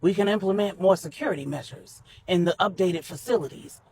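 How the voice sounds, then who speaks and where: A woman storyteller voicing a commanding, protective male crime boss with a measured, persuasive manner. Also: fairly low pitch, darkish tone, a little hiss or hum, lightly acted. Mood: assured, persuasive.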